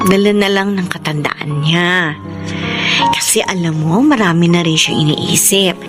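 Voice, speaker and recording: An actress playing a kind, soothing aunt in a Filipino radio drama, gentle and reassuring. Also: female voice, fairly low pitch, a little slow, lightly acted. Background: music.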